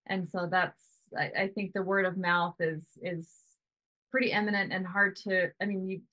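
A woman's voice: on the low side, little pitch movement, normal speed, dull audio. A woman in her thirties, a sauna business owner, chatting casually on a video call from home, often tagging "right?" onto her points. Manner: chatty, hedging with "you know" and "right?".

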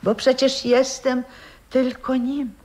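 An actress in a 1960s radio play playing a wistful, proud old grandmother who was once an opera singer, reminiscing in a halting, theatrical way. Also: sits high, level tone, a little mellow, rough recording. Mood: fervent, sorrowful.

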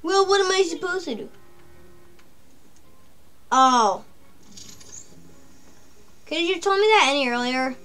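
A high-pitched woman chats through a game in a jokey, rambling way, mostly talking to herself as she plays. Faint music sits underneath her commentary. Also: animated, slow pace, pauses often, a bit bright. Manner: chatty, jokey, talks to herself. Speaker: let's play gamer. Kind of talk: casual gameplay commentary.

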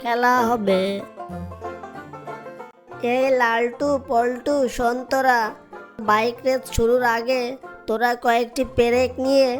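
A woman voicing a cheeky, crafty young fox with an excitable, taunting manner in a cartoon. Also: fairly high pitch, slow, pauses often, soft background music. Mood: scheming. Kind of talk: cartoon character voice acting.

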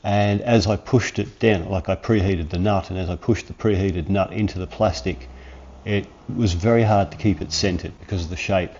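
A man in his forties with a cold and sniffles, chatting through a hands-on workshop tutorial in a relaxed, rambling way from his home garage. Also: sits low, moderate pace, muffled recording. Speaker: workshop channel host.

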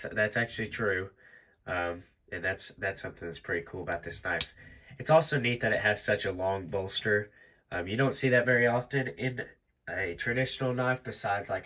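A young man with a nasal, stuffy voice from allergies, chatting casually through a show-and-tell of his collection, relaxed and rambling with lots of ums. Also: lowish voice. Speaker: knife collector vlogger. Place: indoors at a table.

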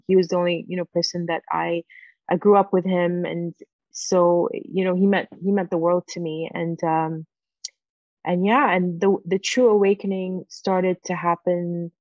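A woman in her forties, a native Farsi speaker, telling a personal story on a video call with many pauses and fillers. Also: on the low side, a little mellow, no echo. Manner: halting, many fillers and restarts. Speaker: guest. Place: home room with windows. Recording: dull, dry video-call audio.

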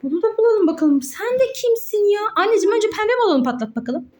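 A woman's voice: very high-pitched, very animated, quick pace, dark tone. A woman talking as a mother playing with her child, in exclamatory, sing-song child-directed chat full of exaggerated surprise. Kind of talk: children's toy play chat.

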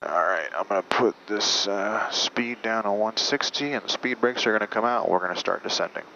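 A man with a low, bright-edged voice narrates as he flies, calling out checklist items in short clipped phrases. The audio is slightly dull, with faint noise from the small cockpit. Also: brisk, gravelly. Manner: clipped checklist call-outs. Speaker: pilot narrating his flight. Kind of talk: cockpit commentary and radio calls. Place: small aircraft cockpit in flight. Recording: noisy, band-limited cockpit audio.

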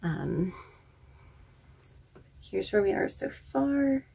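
A woman's voice: mid-range voice, lively intonation, extremely slow, vocal fry, warm tone, breathy edge. A woman in her thirties chatting casually about her knitting projects at home, self-deprecating and full of ums, recorded on a webcam in a small living room. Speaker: knitting podcast host. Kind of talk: casual knitting vlog chat.

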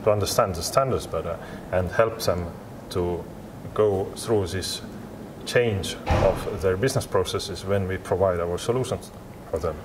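A low-voiced man answers interview questions as a company representative. His English is non-native, halting and repetitive. Clear ambient noise of a large indoor venue sits behind him. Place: large glass-walled expo hall, indoors.

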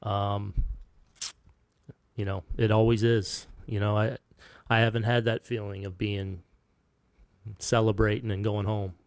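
A man in his fifties, a baseball manager at a postgame press conference, answering in a halting, soft-spoken way with many restarts, sounding heartbroken. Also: lowish voice, little pitch movement, clear, resonant tone, no echo. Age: 50s. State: heartbroken, subdued. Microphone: table microphone.

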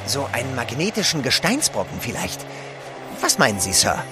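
An actor playing a polite, loyal manservant in an adventure audio drama, speaking courteously. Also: male voice, mid-range voice, moderate pace, music in the background. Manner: courteous, animated.

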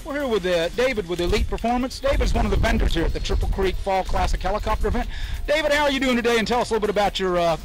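A man's voice: high-pitched, lively intonation, rapid-fire, low-quality recording. An older man doing on-location interviews outdoors at an event, speaking into a handheld microphone. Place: outdoors at a flying field. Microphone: handheld foam-covered microphone. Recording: loud music behind the voice.

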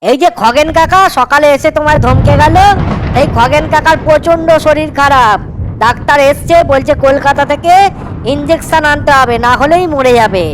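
A woman's voice: high voice, normal speed, background noise, close to an everyday voice. A woman voicing a gossipy, resentful young village housemaid in a cartoon. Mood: gossipy, righteous. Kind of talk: cartoon dialogue. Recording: music over the voice.

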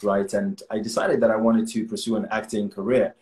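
A man's voice: lowish voice, flat delivery, a little fast, a little mellow, reverberant. A young man from Zimbabwe, an actor guest talking earnestly and chattily on a video call from a small room, laughing often. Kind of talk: casual interview over video call. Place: small room indoors at home.

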